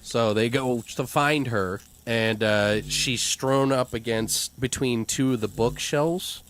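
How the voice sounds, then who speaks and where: A man hosting a podcast, casually making up a story aloud in an excitable, loosely profane way. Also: mid-range voice, takes it slowly. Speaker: podcast host. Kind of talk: casual improvised story brainstorming.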